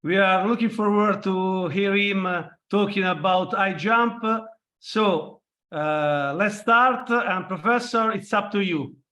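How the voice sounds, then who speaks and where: A man's voice: sits high, takes it slowly, sharp tone. A man hosting an online session, formally welcoming a guest speaker over a video call. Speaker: host introducing a guest speaker.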